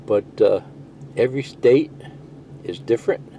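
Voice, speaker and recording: Mellow middle-aged man, casual product walkthrough. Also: mid-range voice, animated, unhurried, slight background noise. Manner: rambling, explanatory, plain-spoken. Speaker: CB radio video presenter.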